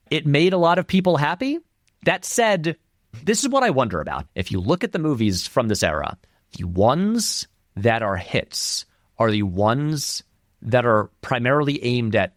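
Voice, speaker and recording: A man speaks as an interview guest with a lively, wide-swinging pitch at a middle register. His delivery is informal and discursive, leaning on words for emphasis. The sound is dry, with very little room to it. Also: dry recording. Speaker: interview guest, film critic and video essayist. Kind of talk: conversational interview commentary.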